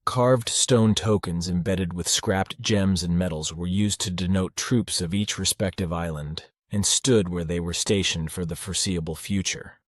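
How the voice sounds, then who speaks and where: Man, fiction narrator, deep pitch, scripted audiobook reading, dry studio sound. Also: fairly even intonation, normal speed, few pauses, dry recording.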